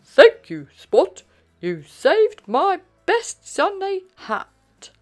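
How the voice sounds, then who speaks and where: Woman putting on a booming, courteous gentleman kangaroo. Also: wildly swooping pitch, extremely slow, soft background music, heavily acted. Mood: grateful. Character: hearty, courteous grown male kangaroo gentleman.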